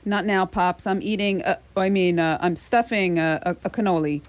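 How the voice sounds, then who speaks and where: A woman's voice: lowish voice, normal speed, close to an everyday voice. A woman playing a nervous, dutiful grown son in a radio play, flustered and hesitant. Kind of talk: community radio theatre acting.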